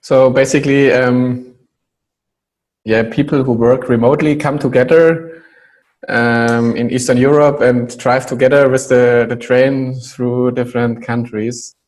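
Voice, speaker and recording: A German-speaking man hosting an online interview over a video call, switching to English and pausing often with fillers. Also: mid-range voice, steady tone, darkish tone, rough recording. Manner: hesitant, many fillers. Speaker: interview host.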